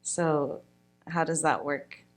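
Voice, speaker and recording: A woman's voice: deep voice, fairly even intonation, slow pace, faint music behind. A woman hosting a podcast, asking questions and giving short encouraging replies. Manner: brief encouraging responses. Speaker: podcast host. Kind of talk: scripted-ish interview questions.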